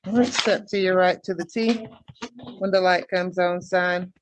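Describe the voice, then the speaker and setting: A man's voice: high-pitched, steady tone, unhurried. Male court deputy, faintly noisy link. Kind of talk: procedural instructions and record reading.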